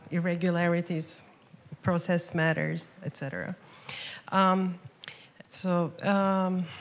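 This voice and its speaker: A woman from Turkey asking a question from the audience in a lecture hall, politely and haltingly. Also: on the low side.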